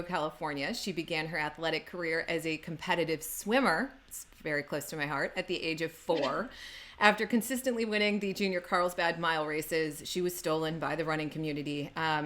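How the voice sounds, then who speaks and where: A woman's voice: lowish voice, a bit bright. A woman co-hosting a podcast interview, upbeat and laughing often. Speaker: co-host.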